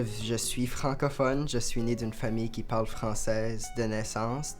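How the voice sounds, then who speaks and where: Young male student, Canadian French accent, fairly low voice, earnest delivery, soft guitar underneath. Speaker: francophone student. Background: soft guitar music.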